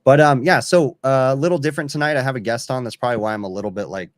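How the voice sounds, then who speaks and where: A man of about forty hosting a casual livestream chat, halting and full of fillers. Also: medium pitch, dry recording. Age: 40s. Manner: chatty, halting, many fillers. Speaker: livestream host.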